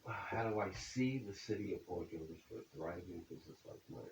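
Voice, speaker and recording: A man's voice: deep voice, brisk. A man in his seventies answering interview questions about his business in a folksy way, often ending points with "okay?". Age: 70s. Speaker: accountant, business owner. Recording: roomy echo, distant mic, faint hiss.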